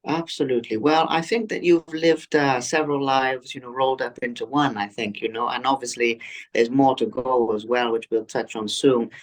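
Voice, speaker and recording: A man's voice: medium pitch, fairly even intonation, normal speed, low-quality recording. Earnest male podcast host. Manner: earnest and effusive, with frequent ums and restarts. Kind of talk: interview podcast hosting.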